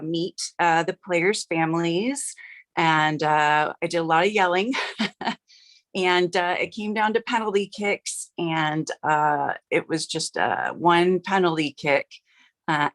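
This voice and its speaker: Low-voiced chatty woman, slightly rough. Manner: chatty, upbeat, many filler "uh"s. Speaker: host of a live card-making stream. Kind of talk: casual livestream chat.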